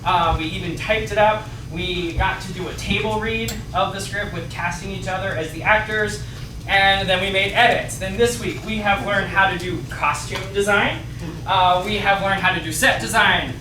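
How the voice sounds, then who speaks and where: A middle-aged man, a theater teacher, talking informally and a little haltingly to a live audience. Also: sits high, steady tone. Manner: conversational, hesitant with frequent fillers. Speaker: theater director and workshop teacher. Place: small indoor theater stage. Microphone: distant camera microphone. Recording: echoey theater, far from mic, noisy.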